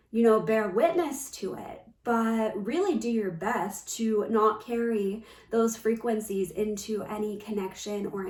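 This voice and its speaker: A woman giving a reflective spiritual talk as a coach, gentle and earnest, often pausing mid-phrase. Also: fairly high pitch. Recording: roomy echo, distant from the mic.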